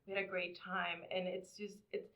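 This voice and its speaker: A woman's voice: fairly low pitch, little pitch movement, moderate pace, a bit bright. A woman hosting a podcast interview in a casual, chatty conversational style.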